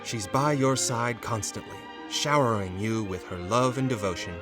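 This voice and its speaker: A man's voice: fairly low pitch, normal speed. A man giving theatrical, suspenseful narration as a storyteller reading a vintage-style horror comic in the second person. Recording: music behind the voice.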